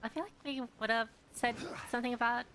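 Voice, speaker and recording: A woman's voice: a little high, fairly even intonation, fast talker, a bit bright, a little hiss or hum. A woman giving casual gameplay commentary as a streamer, musing and hesitant as she thinks aloud. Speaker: game streamer.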